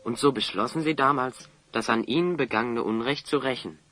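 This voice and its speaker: A man's voice: medium pitch, brisk, sharp tone, dry recording, lightly acted. A man acting a clever, confident hero in an audio drama, articulate and assertive as he reasons things out. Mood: confident, accusing. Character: clever, confident male hero explaining a scheme.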